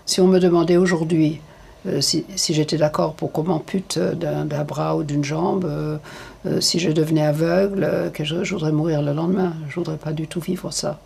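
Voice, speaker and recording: An older woman giving frank, hesitant interview answers, with many pauses and fillers. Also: sits low, fairly even intonation, creaky, darkish tone, slightly rough.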